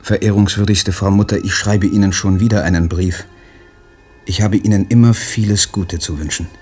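An actor playing a withdrawn, submissive poet son reading out stilted, deferential letters in a radio play. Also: male voice, low voice, fairly even intonation, moderate pace, darkish tone, slightly rough. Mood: stiff, submissive.

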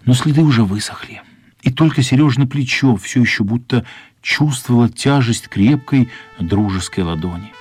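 A man narrating a children's radio play as scripted storytelling, measured and expressive, with pauses. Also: medium pitch, husky, no room sound. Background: music.

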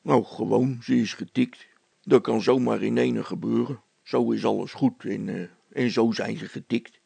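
A man's voice: medium pitch, fairly even intonation, a little slow, creaky, dark tone, husky, dry recording, heavily acted. A man voicing a blunt, simple village policeman in a story, talking in a plain, matter-of-fact way.

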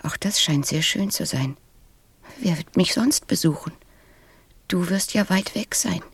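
Proud female flower, husky and soft, wistful, very dry close sound, radio play. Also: fairly low pitch, a little slow, no echo. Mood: brave, wistful. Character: proud, tender female flower, vain but brave.

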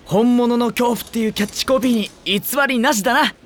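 High-pitched, brash young guy. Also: fairly even intonation, a little fast, fairly bright tone, a little hiss or hum. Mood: pleased. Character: brash, impressed young male teammate.

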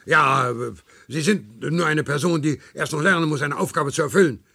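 An actor playing a dutiful, stubborn 95-year-old worker in a radio play, husky and gravelly, prone to stammering. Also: male voice, on the high side, moderate pace, pushed and bright. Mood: indignant. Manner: stammers and repeats words when agitated.

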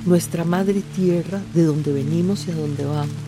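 A woman's voice: low voice, normal speed, over music, natural voice. A woman playing a wise, consoling old village spiritual figure in a radio drama, solemn and soothing. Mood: solemn, reverent.

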